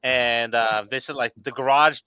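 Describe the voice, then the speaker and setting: A man's voice: medium pitch, steady tone, normal speed, clear, resonant tone, muffled recording. A man hosting a casual live talk show, telling stories informally and acting out quotes as he goes. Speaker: podcast host.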